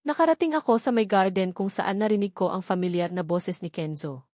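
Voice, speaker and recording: A woman's voice: medium pitch, brisk, darkish tone, no room sound, studio quality. A woman reading scripted first-person narration from a romance novel. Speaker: audiobook narrator.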